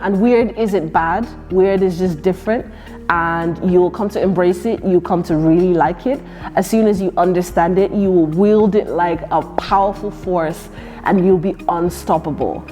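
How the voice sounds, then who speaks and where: A woman's voice: on the low side, normal speed, warm tone, soft background music. A young woman from Ireland of Nigerian heritage, who grew up with Yoruba and English, answering interview questions in a thoughtful, earnest way with frequent fillers and restarts. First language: Yoruba alongside English. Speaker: poet and performer.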